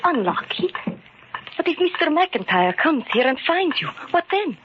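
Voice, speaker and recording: An actress playing an anxious, devoted shopkeeper's wife in old radio drama style, with a worried, questioning manner. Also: female voice, high voice, very animated, normal speed. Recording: dull, very noisy old radio recording.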